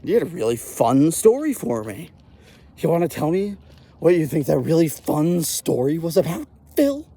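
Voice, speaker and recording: A man's voice: fairly high pitch, big pitch swings, normal speed, dark tone, husky, full character voice. A man voicing an exasperated mother in a comic anecdote, speaking emphatically. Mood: stern, angry. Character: exasperated mother, pleading then sternly threatening.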